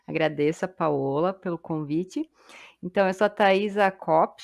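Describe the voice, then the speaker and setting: A woman's voice: fairly low pitch, moderate pace, vocal fry. A woman from southern Brazil, a missionary guest on a podcast, introducing herself in a modest, slightly shy way. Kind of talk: casual podcast chat.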